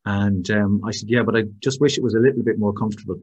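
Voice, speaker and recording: Husky Irish man from Waterford. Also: on the low side, fairly even intonation, quick pace, a little mellow. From Ireland, Waterford. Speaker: podcast host. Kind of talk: podcast interview.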